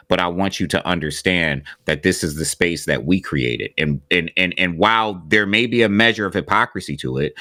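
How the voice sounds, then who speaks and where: Very deep male co-host, deliberate and methodical, dry close sound. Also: sharp tone, no room sound. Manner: measured and deliberate, builds his argument point by point. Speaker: podcast co-host. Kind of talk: casual podcast discussion.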